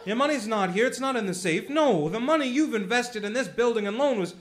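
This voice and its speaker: A man's voice: very high-pitched, animated, brisk, a bit bright. A man playing an earnest young loan-office manager pleading with a panicked crowd in a community radio play.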